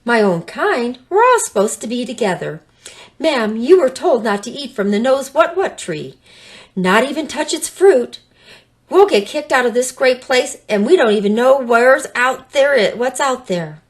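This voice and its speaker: A woman's voice: high-pitched, big pitch swings, measured pace, pitched above the performer's own voice. A woman voicing a small, earnest male skink, shy but indignant, exclaiming and protesting in a storybook tale.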